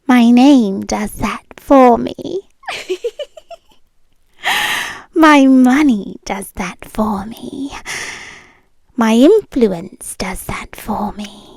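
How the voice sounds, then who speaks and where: A British woman playing a possessive, wealthy, menacingly sweet yandere in an intimate ASMR roleplay, drifting between soft deliberate speech and breathy whispers. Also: fairly high pitch, dry recording, full character voice. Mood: smugly controlling. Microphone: close microphone, dry.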